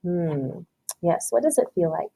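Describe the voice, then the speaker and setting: A woman reading a children's story aloud expressively, pausing to ask simple questions and encourage answers. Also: on the low side, very animated, vocal fry, dark tone, husky.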